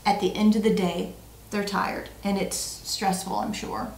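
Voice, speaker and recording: A woman's voice: mid-range voice, steady tone, a little slow, slight background noise. A woman in her forties chatting casually as a vlog co-host, playful and emphatic, often repeating her words. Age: 40s. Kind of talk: casual chat, answering tag questions. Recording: roomy, echoey sound.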